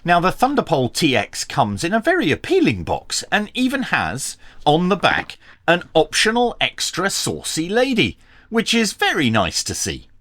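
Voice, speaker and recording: A man presenting a scripted product review in a measured, dryly humorous presenter's delivery. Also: mid-range voice, a bit bright. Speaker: radio review channel host.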